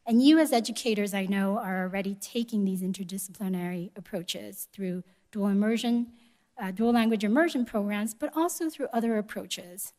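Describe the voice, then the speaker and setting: A woman delivering a prepared speech at a conference in a measured, polite and formal manner. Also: mid-range voice, dark tone. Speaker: conference speaker, education organization executive. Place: live event room before an audience.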